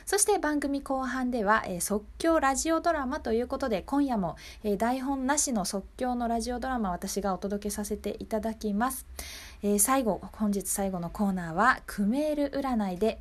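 A woman hosting a local radio show, speaking politely and conversationally as she addresses her listeners. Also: on the high side. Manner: polite, conversational, often seeking agreement from listeners. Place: radio studio.

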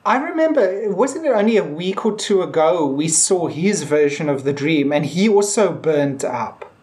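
A man's voice: a little high, very animated, normal speed, a little mellow. A middle-aged man chats as co-host of a TV review podcast. His delivery is tentative and rambling, with a self-deprecating streak as he offers his theories. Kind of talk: casual TV episode review discussion.

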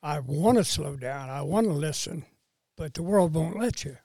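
A man in his seventies talks in an unhurried, plain-spoken way. His voice is gravelly and sits a little higher than most men's. The recording is close and dry. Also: lively intonation, a little mellow, no room sound. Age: 70s. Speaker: podcast guest, host's father. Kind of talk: casual conversation.

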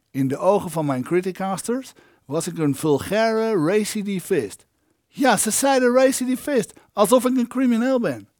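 An actor playing the indignant ghost of an elderly film maker in an audio drama, wordy, aggrieved and theatrical. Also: male voice, sits high, a little mellow, no echo, clean studio sound.

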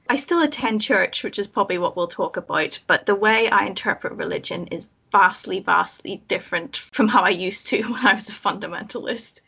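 Podcast guest: Northern Irish woman, husky voice, articulate, candid and wry, on slightly dull lo-fi audio. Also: mid-range voice, fairly bright tone. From Belfast, Northern Ireland. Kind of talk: conversational personal account.

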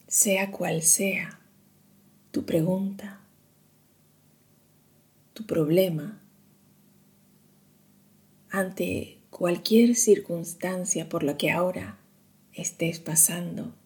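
A woman guiding a meditation in a soothing, hushed manner, leaving long pauses between short phrases. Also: fairly low pitch, steady tone. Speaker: meditation guide. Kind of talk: slow guided meditation narration.

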